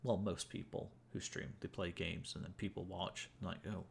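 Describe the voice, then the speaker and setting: A man's voice: deep voice, little pitch movement, moderate pace, gravelly, slight background noise. A young man chatting casually to stream viewers while gaming, rambling and amused at himself, with many fillers and restarts. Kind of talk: casual gameplay chat to viewers.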